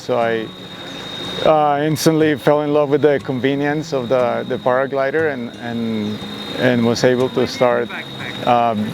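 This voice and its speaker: A man's voice: mid-range voice, creaky. Colombian-born man, outdoor interview with traffic. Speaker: paraglider pilot. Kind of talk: documentary interview.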